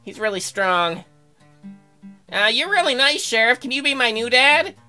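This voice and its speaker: A man's voice: very high-pitched, animated, normal speed, pushed and bright, soft background music, pitched above the performer's own voice, exaggerated voice. A man voicing a naive, eager young boy with a gushing, folksy manner in a comic game playthrough.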